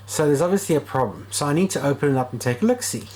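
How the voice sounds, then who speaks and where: A man's voice: fairly high pitch, lively intonation, rapid-fire, darkish tone, low-quality recording. A man talking casually and thinking aloud as he does a hands-on repair vlog. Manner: informal, thinking aloud while working. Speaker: video host and radio repair hobbyist. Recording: clear background noise.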